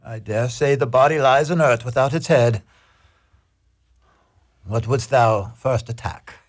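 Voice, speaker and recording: A proud Roman ruler in a Shakespearean radio play, acted by a man. The voice is husky and mid-pitched, and the delivery is formal and declamatory. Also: unhurried, lightly acted. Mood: stately, assured. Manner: declamatory, formal archaic phrasing. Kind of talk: amateur Shakespearean radio drama acting.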